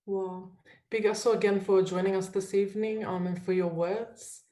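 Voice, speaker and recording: A woman's voice: medium pitch, level tone, normal speed, dark tone. A woman hosting an online event and making brief, polite announcements. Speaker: host. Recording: roomy echo.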